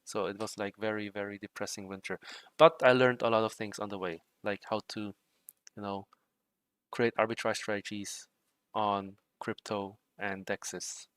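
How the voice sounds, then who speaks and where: A young man with a bright, crisp voice talks loosely to his livestream viewers, filling his sentences with "like" and "right?". He sounds dry and close, with no room around him. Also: on the low side, normal speed, no echo. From Czech Republic. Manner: relaxed, rambling, frequent fillers like "like" and "right". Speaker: livestream host and trading bot developer. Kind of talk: casual livestream chat while coding.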